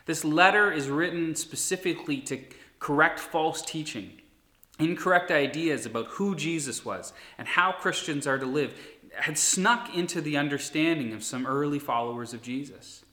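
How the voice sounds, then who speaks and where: A man in his thirties, a pastor, giving a sermon in an earnest, steady preaching manner. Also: a little high, normal speed. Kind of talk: recorded sermon. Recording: echoey room.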